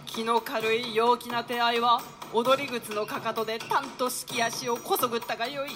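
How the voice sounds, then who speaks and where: Radio play: melancholy young lord in love, female actor, high register, plaintive antique declamation, scored with music. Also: fairly even intonation, moderate pace, lightly acted. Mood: reluctant, downcast. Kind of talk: radio drama in archaic theatrical Japanese.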